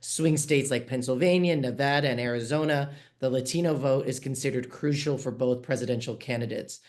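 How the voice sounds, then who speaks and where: A man from New York moderating a virtual panel, friendly and a little halting, with frequent fillers. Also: mid-range voice, darkish tone. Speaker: panel moderator, managing editor. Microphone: video call.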